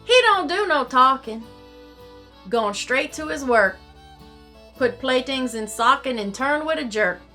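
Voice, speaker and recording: A woman voicing a folksy, excitable Cajun storyteller, reading rhymed storybook verse theatrically in a singsong dialect. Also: high-pitched, a little slow, pauses often, faint music behind, pitched above the performer's own voice, exaggerated voice. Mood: animated, amused.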